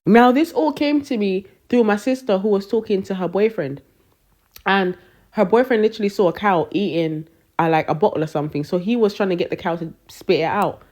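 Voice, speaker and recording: A woman's voice: medium pitch, a little fast. A woman, ranting casually and swearing freely in short social media clips. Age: 30s. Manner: brash, sweary, animated. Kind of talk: casual storytime rants.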